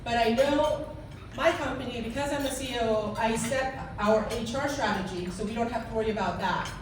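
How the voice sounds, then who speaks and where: A woman from South Korea speaks with a Korean accent in an earnest, halting way, restarting her sentences as she goes. Her voice sits fairly high, and she sounds far from the mic. The room behind her is very echoey, with clear background noise. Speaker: guest speaker. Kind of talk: speech to a club audience. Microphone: handheld mic.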